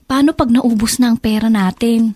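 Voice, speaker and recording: Isang babaeng aktor na gumaganap bilang balisang batang estudyante at nakababatang kapatid sa dulang panradyo. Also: female voice, fairly high pitch, steady tone, moderate pace, darkish tone, lightly acted. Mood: worried. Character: anxious young student, younger sister. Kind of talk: Filipino radio drama acting.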